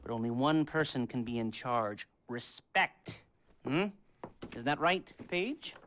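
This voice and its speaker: An American actor playing a sardonic, nerdy middle-aged heist planner in a video game, talking in a wry, halting way. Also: male voice, medium pitch, expressive. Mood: rambling, smug. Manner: wry, halting, rambling, chuckling. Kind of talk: video game cutscene dialogue. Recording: loud car and street noise behind.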